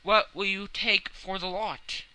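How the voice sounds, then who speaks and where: A man voicing a jovial, brash newspaper editor who heckles loudly in an audiobook. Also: high voice, steady tone, slow pace, a little hiss or hum, voice pushed up, exaggerated voice, rough recording. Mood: boisterous, teasing. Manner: loud, exclamatory, teasing. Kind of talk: audiobook character voice.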